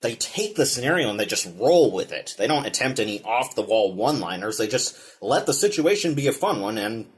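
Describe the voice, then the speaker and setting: Man narrating with very animated pitch. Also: a little fast, hardly any pauses. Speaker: film reviewer and show host. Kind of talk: scripted video essay narration.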